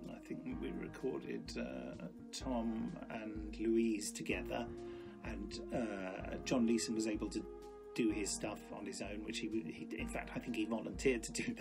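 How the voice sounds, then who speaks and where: Slightly creaky man over background music. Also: fairly low pitch. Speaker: audio drama producer. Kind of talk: interview, conversational explanation.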